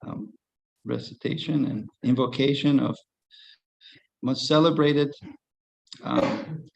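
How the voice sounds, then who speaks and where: A man's voice: medium pitch, creaky, lo-fi sound. A man giving a devotional lecture as a spiritual teacher, conversational, chuckling, and asking rhetorical questions.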